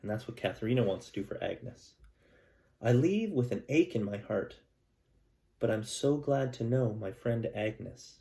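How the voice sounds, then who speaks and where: Male schoolteacher, mid-pitched voice, calm and gentle read-aloud with friendly asides to the class. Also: unhurried, vocal fry. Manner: gentle, calm storytelling with chatty asides to kids. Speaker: elementary school teacher. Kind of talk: reading a picture book aloud to students.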